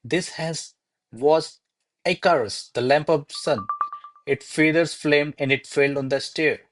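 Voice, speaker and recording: A man's voice: a little high, fairly even intonation, takes it slowly, noisy background. A man playing a deferential, flustered servant to a noble lady in a radio play, speaking formally and placatingly. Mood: earnest, excuse-making. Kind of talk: radio play acting of a classic comedy.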